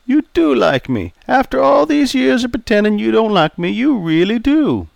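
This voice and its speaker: Male narrator as jovial older customer, folksy teasing, gravelly, dry close sound. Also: extremely high pitch, sing-song, moderate pace, dry recording, pitched above the performer's own voice, full character voice.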